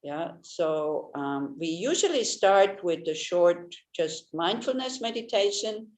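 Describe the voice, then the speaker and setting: A woman whose first language is Swiss German gives a Buddhist teaching in a gentle manner, often restarting and searching for words. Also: fairly low pitch, animated, slow. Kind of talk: Dharma teaching talk. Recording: echoey room.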